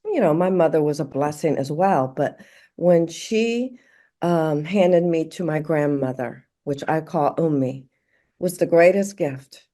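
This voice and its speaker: A woman from Morocco, a podcast guest, speaking warmly and reflectively, halting often with fillers and restarts. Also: low voice. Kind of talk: casual interview conversation.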